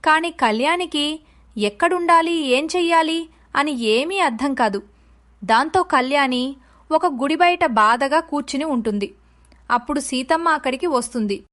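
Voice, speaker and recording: A woman's voice: high-pitched, expressive, unhurried, fairly bright tone. A woman narrating a scripted moral story for children.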